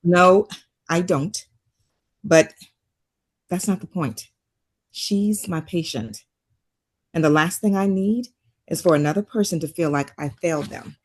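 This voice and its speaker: Lowish-voiced actress as a composed woman therapist, weary yet caring, measured and firm, naturalistic drama read. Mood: weary, earnest. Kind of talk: naturalistic table read acting.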